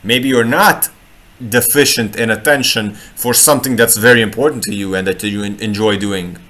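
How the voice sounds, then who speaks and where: A man's voice: on the low side, normal speed, bright, forceful tone. Man, rambling live talk. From Croatia. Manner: rambling, restarts words, playful asides. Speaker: human design reader and street artist. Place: home room in Sweden.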